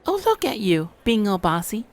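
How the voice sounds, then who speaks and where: Casting: man playing caring, teasing wife in a visual novel, high voice, gentle and fussy. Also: huge pitch swings, moderate pace. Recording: music behind, low-quality home recording.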